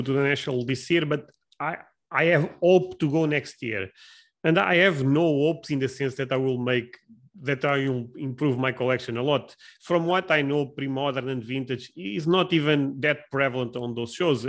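A male podcast host with a medium-pitched voice. He speaks English in a casual, conversational way, halting and doubling up on words as he builds his questions and answers. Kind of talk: casual interview chat.

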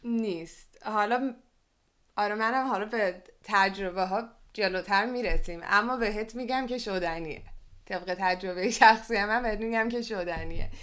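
Clear-voiced Iranian woman co-hosting a podcast. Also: mid-range voice, a bit bright. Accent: Iranian Persian with English loanwords. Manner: explanatory, poses questions to co-host. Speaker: podcast co-host. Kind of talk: conversational podcast discussion, presenting survey figures.

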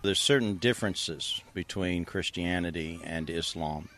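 A man acting as an evangelist interviewer, asking measured, probing questions about faith, with an occasional "uh". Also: low voice, fairly bright tone, slight background noise. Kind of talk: street interview with scripted intro.

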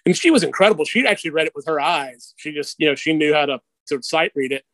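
A middle-aged man with a fairly high voice, recorded close and dry. He talks casually and candidly, like a guest sharing personal anecdotes, with a self-deprecating streak. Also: expressive, quick pace, a bit bright, dry recording, poor audio. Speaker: interview guest. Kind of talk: casual conversational storytelling.

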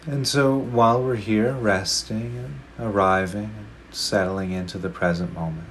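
Slow, gentle deep-voiced meditation guide. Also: male voice, steady tone, a little hiss or hum. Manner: slow, gentle, with long pauses. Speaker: meditation teacher.

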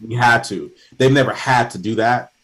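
Man, from Ghana, casual conversation, animated storyteller, mid-pitched voice. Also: moderate pace. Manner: animated storyteller, voice rising high in anecdotes.

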